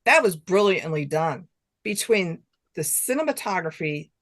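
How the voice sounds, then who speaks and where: A woman's voice: fairly low pitch, sing-song, measured pace, a bit bright. A woman author chatting casually as an interview guest, enthusiastic and repeating phrases for emphasis. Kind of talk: casual chat.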